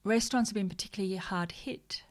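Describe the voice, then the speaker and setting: A woman's voice: mid-range voice, quick pace. Chatty woman hosting a livestream. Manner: chatty, mutters asides to herself while searching; exasperated, joking rants. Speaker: host of a social media news livestream. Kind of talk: casual livestream news commentary.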